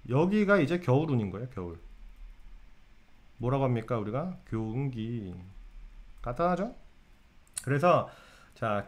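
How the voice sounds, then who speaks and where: A man giving a casual lecture to viewers on fortune-telling. He keeps asking his audience questions and answering them himself. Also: mid-range voice.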